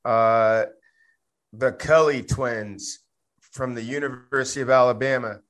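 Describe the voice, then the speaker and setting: A man's voice: mid-range voice, steady tone, measured pace, fairly bright tone. Upbeat male sports podcast host. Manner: upbeat, moves the show along, reads sponsor ad. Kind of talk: casual sports talk and ad read.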